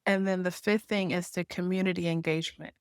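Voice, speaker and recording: A woman's voice: lowish voice, steady tone, a little fast, smooth, dry recording, clean studio sound. Articulate woman explaining marketing strategy. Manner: explanatory, tags points with "right?". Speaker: podcast guest, marketing association founder. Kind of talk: conversational interview.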